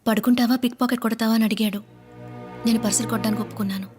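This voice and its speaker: A woman's voice: a little high, fairly even intonation, very fast, music in the background, close to an everyday voice. A young female actor playing a plain-spoken, wary, stubborn pickpocket in a film drama. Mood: earnest, wary.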